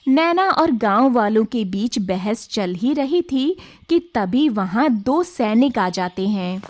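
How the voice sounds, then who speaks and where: A woman's voice: fairly high pitch, expressive, slow pace, a little mellow. A woman reading scripted storybook narration as the narrator of a tale.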